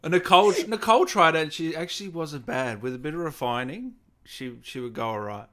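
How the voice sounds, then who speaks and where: A man's voice: a little high, lively intonation, a little fast, fairly bright tone. Australian man hosting a casual chat. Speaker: interview host.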